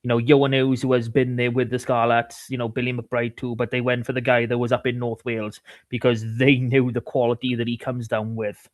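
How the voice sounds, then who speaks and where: A man co-hosting a sports podcast, talking casually about rugby in a halting way, often repeating words. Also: mid-range voice, level tone, no echo.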